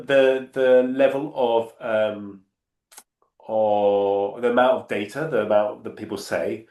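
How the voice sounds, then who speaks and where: A man's voice: medium pitch, darkish tone, roomy sound. A man answering audience questions on a panel, explaining at length and often restarting his phrases. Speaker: panel speaker. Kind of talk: conversational Q&A answer.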